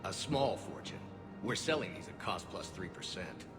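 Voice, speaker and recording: A man's voice: sits low, expressive, normal speed. An actor playing an earnest, patriotic alien businessman in a video game, firm and insistent, recorded with a hall echo. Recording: echoey hall with music.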